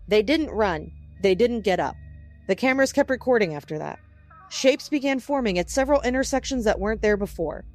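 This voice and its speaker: Female news anchor played by an actress: grave, careful, slightly high voice, emergency bulletin delivery over music. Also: a little mellow, lightly acted. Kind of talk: scripted breaking news broadcast acting.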